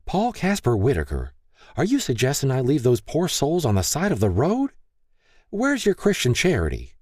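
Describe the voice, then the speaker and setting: A man's voice: medium pitch, huge pitch swings, moderate pace, warm tone, slightly rough, no room sound, studio quality, pitched above the performer's own voice. A man voicing a kindly, candid older small-town wife in an audiobook. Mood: pleading, indignant. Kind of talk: audiobook character dialogue.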